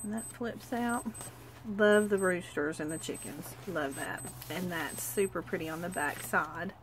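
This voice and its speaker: A woman, stuffy from a cold, chatting quickly and gushingly as she shows a handmade journal at her craft table. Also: fairly low pitch, a little hiss or hum, low-quality recording. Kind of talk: casual craft walkthrough.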